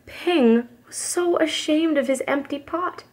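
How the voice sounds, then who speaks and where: A young woman reading a children's picture book aloud in an expressive, careful storytelling manner, as a preschool teacher does. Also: high voice, unhurried, slight background noise. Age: young adult.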